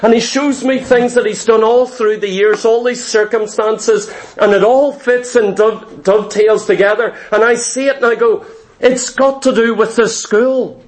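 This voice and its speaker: Irish guy preacher, pretty high voice, telling his testimony in short earnest bits, repeats himself. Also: steady tone, moderate pace, hardly any pauses. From Ireland. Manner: earnest storytelling in short clipped phrases, often repeating himself.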